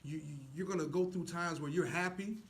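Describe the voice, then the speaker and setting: Earnest man with a higher-pitched voice. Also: a little fast, fairly bright tone. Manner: earnest, uses rhetorical questions. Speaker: stage actor, show guest. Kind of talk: casual, preacher-like testimony.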